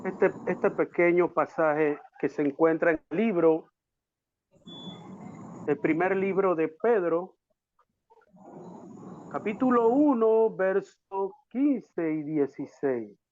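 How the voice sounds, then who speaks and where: A man preaching a sermon as a pastor, haltingly, repeating words for emphasis. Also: high voice, lively intonation. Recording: echoey, dull lo-fi audio.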